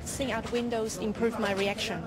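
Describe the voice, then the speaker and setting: A woman's voice: on the high side, level tone, normal speed. A woman in her thirties explaining her controller job in an interview. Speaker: air traffic tower controller. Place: control tower room with big windows. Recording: loud control room background noise.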